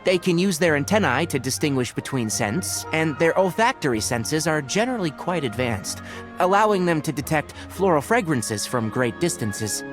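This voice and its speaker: Precise, lively-pitched young scholar. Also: male voice, normal speed, music in the background. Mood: instructive. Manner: precise, didactic, dry. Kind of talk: anime game dialogue.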